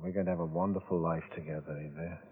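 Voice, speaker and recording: Halting, evasive radio drama husband. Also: male voice, low voice, steady tone, husky. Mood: falsely tender. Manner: halting, stammering. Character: evasive, scheming, uneasy bridegroom in crime drama. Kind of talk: 1940s-50s radio drama acting. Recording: phone-like vintage radio, faint noise.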